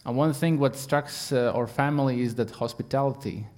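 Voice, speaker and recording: A man with a Latvian accent, Latvian his first language, preaching a sermon as a guest in halting English, earnest and full of fillers. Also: medium pitch, fairly even intonation, a little mellow.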